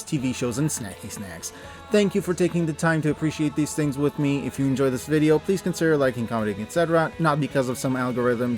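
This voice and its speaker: A man in his thirties giving an earnest, expressive, scripted personal narration, with emphatic delivery. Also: mid-range voice. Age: 30s. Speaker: video creator and narrator. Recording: music under the voice. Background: background music.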